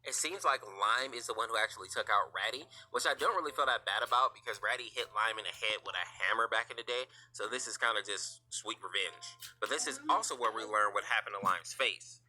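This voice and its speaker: A man's voice: on the low side, a little fast, hardly any pauses, bright, forceful tone, soft background music, poor audio. A man narrating explanatory fan commentary on a video series.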